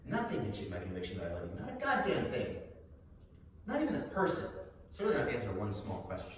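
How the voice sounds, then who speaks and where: An actor playing Sam, a troubled, defensive psychiatric patient, in an emphatic theatrical style. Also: male voice, fairly low pitch, wildly swooping pitch, quick pace. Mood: defensive, earnest. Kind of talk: theatrical stage acting. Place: live stage showcase in a room. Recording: very echoey room, distant mic, noisy background.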